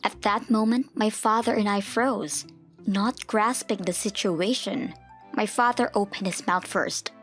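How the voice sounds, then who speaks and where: A woman's voice: medium pitch, steady tone, measured pace, a bit bright, soft background music. A woman reading a scripted first-person story as the narrator of a comic dub.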